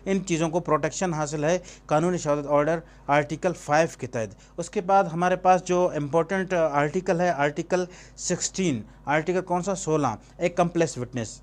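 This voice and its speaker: A man with a Pakistani accent and a slightly high-pitched voice teaches in an informal lecture style. He keeps putting questions to the listener and answering them himself, slipping English terms into his explanations. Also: normal speed. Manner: switches between Urdu and English terms. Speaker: law teacher. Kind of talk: informal exam-prep lecture with rhetorical questions.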